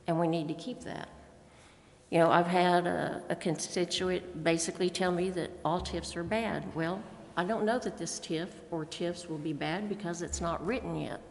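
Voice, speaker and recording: Lower-voiced American woman in a reverberant room. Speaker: city council member. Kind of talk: prepared remarks at a public meeting. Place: council chamber.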